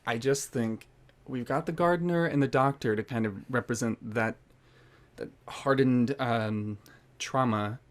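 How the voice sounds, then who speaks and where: A man's voice: mid-range voice, unhurried, natural voice. An actor playing a young playwright who earnestly explains his intentions with hedging phrases. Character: defensive young male playwright. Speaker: writer acting in his own radio play. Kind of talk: audio drama acting.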